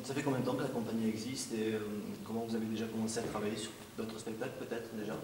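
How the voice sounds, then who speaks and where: A man's voice: fairly low pitch, level tone, very fast, background noise. A man hosting an interview in a theatre hall, chatty and joking, with frequent hesitations. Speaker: host. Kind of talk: casual presenter interview. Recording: roomy hall, voice from a distance.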